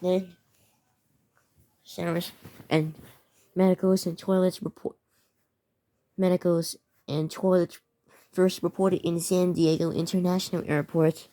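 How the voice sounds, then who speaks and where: A woman with a fairly low, very mellow voice reads out amateur emergency bulletins as a narrator. The delivery is halting, with words often stumbled over and said again. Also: little pitch movement. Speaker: narrator of a fictional emergency alert scenario.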